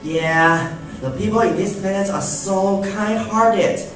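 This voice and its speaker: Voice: medium pitch, slow, fairly bright tone. Someone playing a kindly, pleading alien cafe owner, echoey. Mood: earnest pleading. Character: kind, earnest male alien running a small cafe. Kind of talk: amateur radio drama acting. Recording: echoey hall from far off, music behind.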